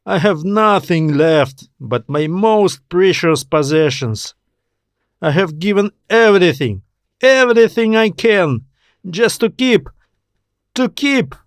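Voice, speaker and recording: A man voicing a distraught, pleading old man in an audiobook story, with a halting, emotional delivery. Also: sits high, expressive, no echo, studio quality, pitched above the performer's own voice, heavily acted. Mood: desperate, tearful. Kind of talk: audiobook character acting.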